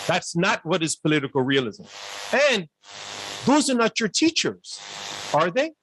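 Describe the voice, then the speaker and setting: A man's voice: sits high, fairly bright tone, a little hiss or hum, poor audio. A man speaking as an academic on a panel, earnest and lecturing, firing off rhetorical questions. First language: not Urdu. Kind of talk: panel discussion remarks.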